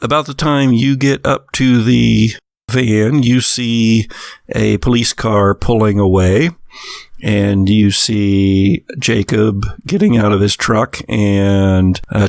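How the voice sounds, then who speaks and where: A man's voice: fairly low pitch, a little mellow, no room sound, studio quality. A man acting as game master, narrating scenes for a tabletop horror game in a conversational, descriptive way.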